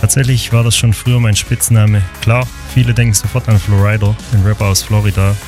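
A man from southern Germany hosts a country radio show, talking between songs in an earnest and personal way. His voice sits a little low, and music plays clearly under him the whole time. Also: level tone, fairly quick. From Hohenlohe, Baden-Württemberg, Germany. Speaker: radio host. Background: music bed.

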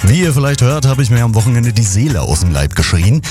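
Radio host, male, slightly hoarse, lively pitch, music bed underneath, casual presenter. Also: quick pace. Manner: chatty, lively, addresses listeners directly.

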